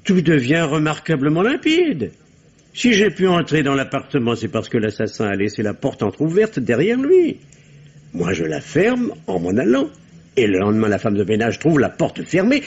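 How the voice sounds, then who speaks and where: An actor playing a nervous, combative doctor defending himself as a murder suspect in a 1950s-style French radio mystery, insistent and husky. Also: male voice, fairly high pitch, moderate pace, exaggerated voice. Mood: reasoning. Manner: animated, argumentative, insistent. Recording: dull, muffled vintage-style radio sound.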